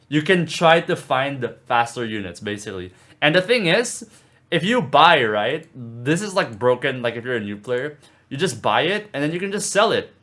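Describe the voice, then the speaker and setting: A man's voice: medium pitch, a bit bright. A young man in his student years giving a loose, chatty rundown of a mobile game. His delivery rambles, with plenty of "like" fillers and restarts. The tone stays upbeat and casual, as if he is talking straight to his viewers. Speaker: gaming video creator. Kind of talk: casual game commentary vlog.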